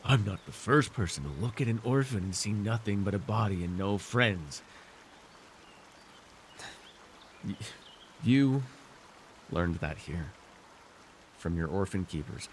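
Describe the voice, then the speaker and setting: Man acting a low, smug, seductive fantasy villain. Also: lively intonation, measured pace, a little hiss or hum. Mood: wary, haughty. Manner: smug, teasing, theatrical, speaking intimately to one listener. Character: arrogant, seductive male fantasy villain warlord, dream-wielding conqueror. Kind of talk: immersive ASMR fantasy roleplay acting.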